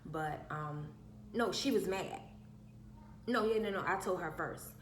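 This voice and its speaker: A woman with a soft, childlike, fairly low voice tells a personal story in a casual way. She is emphatic and piles up repeated phrases. The sound is roomy and echoey, with a faint hum behind her. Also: a little slow. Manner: emphatic, repeats herself for effect. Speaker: vlogger.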